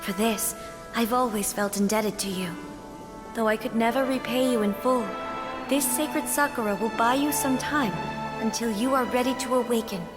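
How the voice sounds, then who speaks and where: Game role: departed goddess played by a woman, high voice, tender, serene, formal; long reverb, music behind. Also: lightly acted. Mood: solemn, tender. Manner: tender, formal, unhurried storytelling delivery. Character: gentle, serene departed goddess, a lingering spirit bidding farewell. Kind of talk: video game voice acting.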